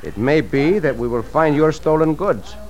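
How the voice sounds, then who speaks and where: Man playing a lively, gallant Mexican cowboy hero. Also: on the high side, moderate pace, highs cut off, close to an everyday voice. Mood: serious, planning. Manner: courteous, formal English sprinkled with Spanish. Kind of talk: old-time radio western acting. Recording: hissy vintage radio recording.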